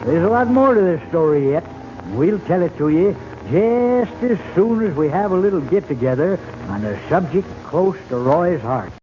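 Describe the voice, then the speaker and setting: A man's voice: on the high side, expressive, normal speed, close to an everyday voice. Man acting as feisty old cowboy sidekick, rural Western twang, folksy slang, muffled noisy vintage radio drama. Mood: folksy storytelling. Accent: rural Western American. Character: crusty, hot-headed old cowboy sidekick.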